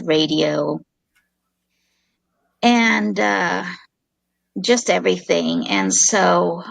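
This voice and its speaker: Gravelly fifties woman, talks real slow and careful, lots of uhs, dry sound. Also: lowish voice, fairly bright tone, no room sound, low-quality recording. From South Vietnam. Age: 50s. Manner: slow, measured telling with many fillers. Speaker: guest, social worker. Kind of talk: interview storytelling.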